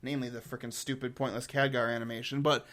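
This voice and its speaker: A man's voice: medium pitch, flat delivery, fast talker, fairly bright tone. A man giving casual, enthusiastic video commentary as a host, rambling informally and often repeating words as he goes. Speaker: host of a game lore review channel.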